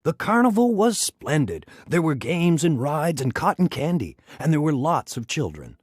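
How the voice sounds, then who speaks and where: A man reading a children's story aloud in an expressive, dramatic storytelling manner. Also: on the high side, normal speed, husky, no room sound, clean studio sound. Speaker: storybook reader.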